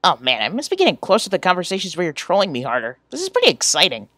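A woman's voice: lowish voice, huge pitch swings, rapid-fire, a bit bright, dry recording, exaggerated voice. A woman voicing a goofy, earnest teenage boy from a webcomic, playful and exclamatory, in an amateur character reading. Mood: excited, teasing.

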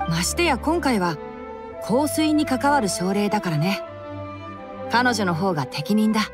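Woman as a boyish young male scholar, mid-range voice, calm, explanatory, anime game dub, clear music behind. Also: medium pitch, a little slow, lightly acted. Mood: composed. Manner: composed, reasoned, explanatory. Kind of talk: Japanese anime game dubbing. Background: background music.

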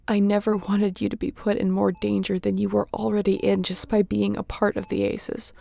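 A heavily creaky female space captain, acted by a woman in a sci-fi audio roleplay. She speaks softly and openly at a middling pitch, battle-worn but tender, with a wry streak and a breathy edge. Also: monotone, moderate pace. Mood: tender, confessional. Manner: soft, sighing, emotionally open. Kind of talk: intimate audio roleplay acting.

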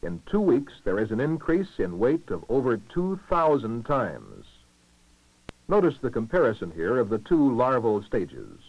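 A man's voice: mid-range voice, huge pitch swings, dry recording. Man narrating a vintage lo-fi educational film. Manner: formal, measured, clearly enunciated delivery. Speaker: documentary narrator. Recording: muffled lo-fi 1960s film soundtrack.

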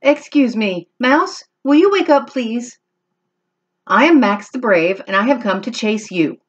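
A tiny, eager kitten boy with a high, squeaky voice and big talk, played by a woman reading aloud. He swings up and down with excitement and stays polite even when bragging. The sound is dull and lo-fi. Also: a little slow, slightly rough. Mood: boastful. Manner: politely squeaky, puffed-up bravado. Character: small boastful male kitten, eager and polite.

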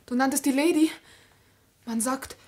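A woman's voice: high-pitched, moderate pace, lightly acted. An actress playing an innocent, anxious young girl in love in a 1950s German radio play, tremulous and earnest.

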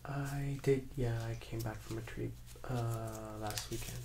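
A man in his thirties giving quiet, brief, relaxed answers as the patient in a soft-spoken ASMR role-play. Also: medium pitch, unhurried. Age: 30s. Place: small indoor room. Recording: echoey room with clear background noise.

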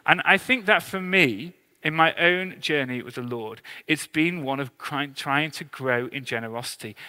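A man preaches in a bright, forceful voice that sits a little high. His delivery is earnest but conversational, like an informal sermon. Long reverb from a big hall trails his words. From England. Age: 30s to early 40s. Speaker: pastor, church leader.

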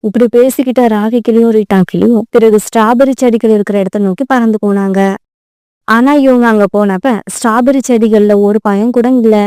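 A woman storyteller with a smooth, somewhat high voice and a mellow tone. She reads a scripted children's tale in a dry, close recording. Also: fairly even intonation, brisk, dry recording. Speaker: story narrator.

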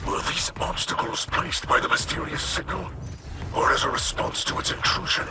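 A man playing a gentle, scholarly alien ally in a video game, speaking in a hushed, breathy, measured and formal way. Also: on the high side, heavy background noise. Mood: solemn guidance. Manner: measured, formal, hesitant, wonder-filled. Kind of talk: scripted video game dialogue.

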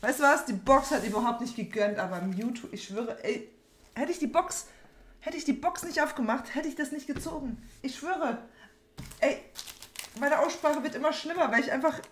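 A young woman talks in a rambling, informal way as she opens card packs. She often repeats herself. The room is echoey, with clear noise behind her. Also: high-pitched, normal speed. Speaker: trading card opening host. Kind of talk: casual chat while opening card packs. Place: small room at home, indoors. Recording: roomy echo, background noise, card crinkling.

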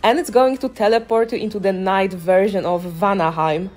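A woman's voice: medium pitch, fairly even intonation, moderate pace, a little mellow. Chatty woman narrating gameplay over music. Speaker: gaming video creator. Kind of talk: casual gameplay commentary voice-over. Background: music behind the voice.

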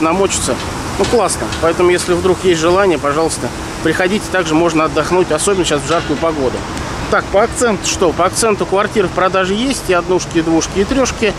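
A man in his thirties, a real estate agent, talking casually and rambling with many fillers as he films a walking tour outdoors on a handheld camera. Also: fairly high pitch. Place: outdoors in a city park by a busy road. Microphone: handheld camera mic at arm's length. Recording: street traffic noise behind.

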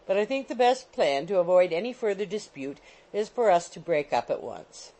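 An anxious gentleman from a classic novel, acted by a woman reader, meek and apologetic. The voice swings up and down as he politely pleads and placates. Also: lowish voice, lively intonation, normal speed, natural voice. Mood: anxious, placating.

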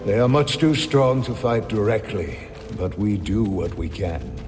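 An older man playing a courteous, learned Jedi scholar in a video game, speaking in a measured, formal, gently wry way. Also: lowish voice, expressive. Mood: solemn. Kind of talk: video game cutscene acting. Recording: reverberant game scene with music. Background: cinematic music.

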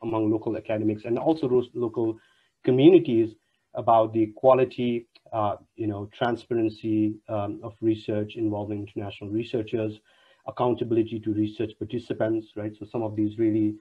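A man's voice: fairly low pitch, flat delivery, fairly quick, slightly rough. A man in his thirties from Nepal, a university lecturer introducing an online panel, speaking formally with many fillers and restarts. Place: home room on a video call. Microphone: wired earphone mic on a video call. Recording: dull lo-fi video call audio.

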